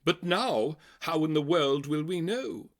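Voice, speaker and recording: A man's voice: a little high, expressive, measured pace, pitched above the performer's own voice. A man voicing snooty, boastful creatures in a storybook, with a haughty, exclamatory way of talking. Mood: dismayed indignation.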